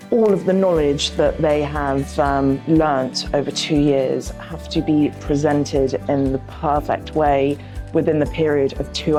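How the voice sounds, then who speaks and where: Woman, low voice, slow pace, thoughtful with fillers, soft music behind, lapel mic. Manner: thoughtful, with many fillers like "um" and "you know". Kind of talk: interview answer.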